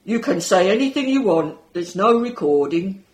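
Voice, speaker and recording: A woman voicing a calm, matter-of-fact male attendant at an exhibit entrance, within a story she reads. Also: mid-range voice, moderate pace, lightly acted. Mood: reassuring.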